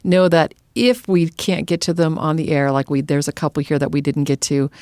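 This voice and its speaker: A woman's voice: low-pitched, a little mellow, dry recording, studio quality. An American woman hosting a podcast in a conversational, organized and practical way, addressing listeners directly. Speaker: podcast host.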